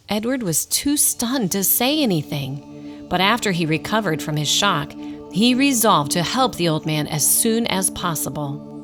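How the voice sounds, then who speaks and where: A woman reading scripted story narration for a radio drama. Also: mid-range voice. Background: music.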